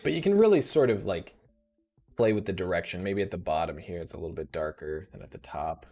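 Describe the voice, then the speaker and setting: Man, 30s, live tutorial host; slightly rough, laid-back, lowish voice, faint music bed. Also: brisk. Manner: relaxed, thinking aloud with fillers.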